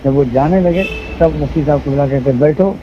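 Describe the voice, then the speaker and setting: A man's voice: a little high, fairly quick, a little hiss or hum. A man explaining himself emphatically in a recorded phone conversation, giving his side as an interviewee. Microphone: phone line. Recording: muffled, tinny recorded phone call.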